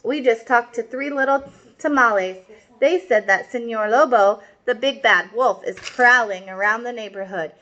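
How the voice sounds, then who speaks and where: Woman playing male cowboy leader, storybook, folksy, cautioning, high pitch, muffled recording. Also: moderate pace, bright, forceful tone. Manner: folksy, warning.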